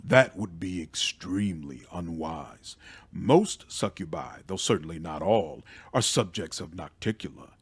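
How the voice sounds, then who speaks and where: Fantasy game mentor, male and otherworldly, acted by a man: low husky voice, measured, formal, calculating. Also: expressive, full character voice. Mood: calm, calculating.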